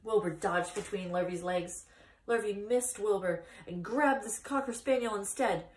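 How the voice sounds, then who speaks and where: A woman in her thirties reading a children's book aloud as expressive storytime narration. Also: medium pitch, moderate pace, slight background noise. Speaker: storytime reader. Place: small indoor room, seated by a wall. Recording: echoey room, distant microphone.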